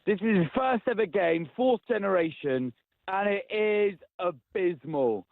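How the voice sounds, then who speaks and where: A man's voice: high-pitched, lively intonation, measured pace, creaky, no echo. A man calling a radio phone-in from his car, exasperated and repeating himself. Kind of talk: casual phone-in call. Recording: tinny phone line.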